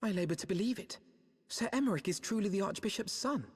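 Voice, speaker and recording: A cautious retainer in a fantasy game, with long hall reverb around a husky voice. He questions deferentially in archaic phrases, his intonation swinging widely with doubt. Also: high voice, expressive, fast talker. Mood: doubtful.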